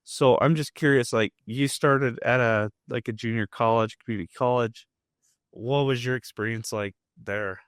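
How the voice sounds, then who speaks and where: A man hosting a podcast, hoarse and losing his voice, chatting upbeat and laughing at himself. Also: medium pitch, steady tone, moderate pace, dry recording, studio quality. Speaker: podcast host. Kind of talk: casual podcast chat.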